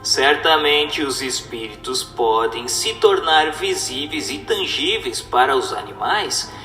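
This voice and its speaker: A man playing a solemn spirit teacher in a radio drama, lecturing humankind in grave, oratorical, deliberate phrases. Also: mid-range voice, lively intonation, bright, forceful tone. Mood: solemn, didactic. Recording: echoey hall reverb, music behind.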